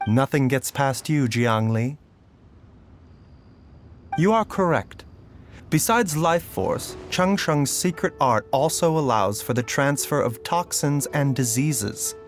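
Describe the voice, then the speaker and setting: A calm, courteous male doctor in a game, his mid-range voice gliding through lively rises and falls. He stays smooth and polite, with soft music beneath. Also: mid-range voice, slow pace. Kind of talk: game voice acting.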